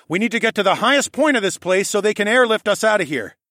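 A man's voice: high-pitched, huge pitch swings, moderate pace, fairly bright tone, slightly rough, dry recording, clean studio sound, voice pushed up, exaggerated voice. A man voicing an impulsive, emotional young male hero in a fantasy audiobook, blurting urgently and informally.

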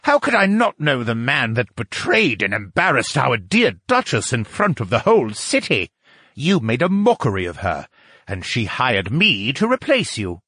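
Male narrator as snooty rival scientist for an audiobook: raised pitch, swooping intonation, scornful and easily stung. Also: big pitch swings, moderate pace, fairly bright tone, breathy edge, dry recording, clean studio sound. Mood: mocking. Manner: mocking, scornful, shrill when provoked. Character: haughty, touchy, strange-looking male scientist rival. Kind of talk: audiobook character acting.

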